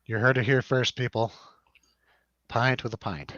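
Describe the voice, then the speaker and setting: A man's voice: mid-range voice, fairly even intonation, unhurried, fairly bright tone, dry recording, lo-fi sound. A man hosting a casual film review chat, rambling and jokey, putting questions to his co-host. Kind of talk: casual chatty film discussion over drinks.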